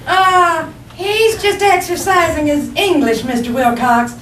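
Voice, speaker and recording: Give me an actress as a very high-voiced radio-comedy wife. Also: female voice, expressive, unhurried, a bit bright, exaggerated voice. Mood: wry, friendly. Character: patient wife gently correcting her foolish husband. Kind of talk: 1940s radio comedy acting before a live audience. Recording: echoey stage, distant mic, noisy.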